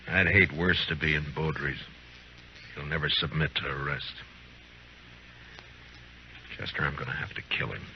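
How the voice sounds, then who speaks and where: A man's voice: a bass voice, fairly even intonation, normal speed, pauses often, slight background noise. An actor playing a stern, weary, commanding frontier lawman in a 1950s radio western. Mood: grim, weary. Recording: muffled old radio recording with music.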